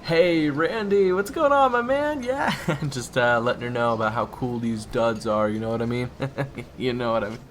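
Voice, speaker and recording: A man's voice: mid-range voice, animated, normal speed, natural voice. A man playing a flustered, stammering young waiter in an audio roleplay, who secretly hunts monsters and swings between chummy chatter and sweary irritation. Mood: forced chummy. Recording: clearly noisy background.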